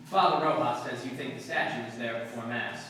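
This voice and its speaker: An actor playing a businesslike, persistent police sergeant in a 1950s-style radio drama, with clipped, matter-of-fact delivery. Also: male voice, mid-range voice, a little fast, pushed and bright, close to an everyday voice. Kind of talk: 1950s radio drama acting with first-person narration. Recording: distant, very echoey hall with noise.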